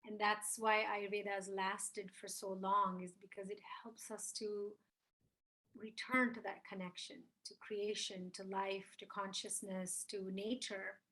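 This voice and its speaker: A woman's voice: medium pitch, level tone, a little slow, sharp tone, poor audio. A woman in her fifties from India, a spiritual mentor, talking as an interview guest in a reflective, earnest way. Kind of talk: interview conversation.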